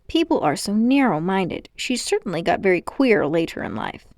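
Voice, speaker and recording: A woman's voice: mid-range voice, big pitch swings, moderate pace, a little mellow, no echo, studio quality. A woman voicing a pompous, condescending, scheming old man, a self-important magician uncle in a storybook. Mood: evasive, defensive. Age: older. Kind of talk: storybook character voice.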